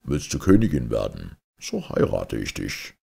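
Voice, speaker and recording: A man's voice: wildly swooping pitch, measured pace, gravelly, warm tone, breathy edge, exaggerated voice. A man voicing a scheming, imperious king in a storybook fairy tale. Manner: low, commanding.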